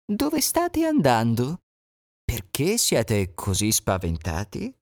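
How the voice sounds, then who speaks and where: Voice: medium pitch, wildly swooping pitch, slow, a little mellow, heavily acted. A storyteller voicing a sly female fox in a children's story who coaxes the others with false reassurance. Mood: friendly.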